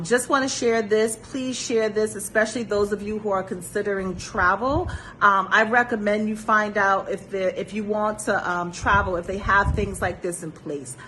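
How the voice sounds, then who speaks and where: A woman's voice: medium pitch, bright, forceful tone, poor audio. Emphatic woman speaking over background noise. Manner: emphatic, repeats key phrases. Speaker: vlogger sharing a travel experience. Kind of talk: earnest opinionated talk to camera.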